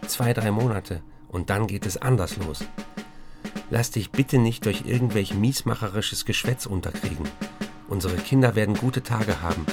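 An actor plays a self-assured writer speaking his letters home in a radio drama. His voice is low, upbeat and confiding, with clear percussive music underneath. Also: moderate pace, natural voice. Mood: confident, optimistic. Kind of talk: radio play, letters read aloud.

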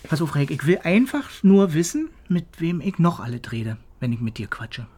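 A woman's voice: low voice, very animated, normal speed, heavy background noise. An actress playing a jealous, confrontational woman in a radio play, blunt and challenging. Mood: jealous, confronting. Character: jealous, confrontational woman demanding straight answers. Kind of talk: naturalistic radio play acting.

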